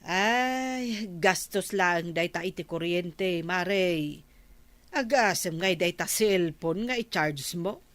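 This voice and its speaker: A woman's voice: lowish voice, slow pace, no echo. A woman playing a practical, nagging village wife in an Ilocano audio drama, speaking with an Ilocano accent. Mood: chiding. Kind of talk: Ilocano radio-style audio drama acting.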